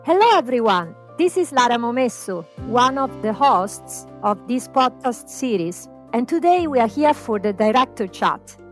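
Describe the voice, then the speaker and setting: A woman hosting a podcast, giving a formal, measured introduction read from notes. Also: a little high, big pitch swings, faint music behind. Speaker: podcast host. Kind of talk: scripted introduction and background narration.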